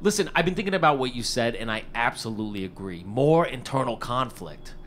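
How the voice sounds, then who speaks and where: An actor playing an eager, fast-talking struggling screenwriter at a hotel desk in a period radio drama, wisecracking and pleading, stammering when flustered. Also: male voice, medium pitch, lively intonation, fairly bright tone, slight background noise, lightly acted. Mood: deferential, nervous.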